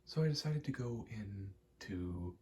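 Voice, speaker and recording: A young man giving a soft-spoken, halting, reflective ASMR ramble, telling personal stories in a hushed voice close to the mic. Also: lowish voice, lively intonation, a little hiss or hum. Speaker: ASMR creator.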